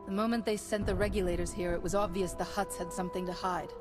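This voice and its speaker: A woman voicing a calm, principled, resolute Jedi knight in a video game, earnest and commanding. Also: fairly low pitch, fast, natural voice. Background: game music.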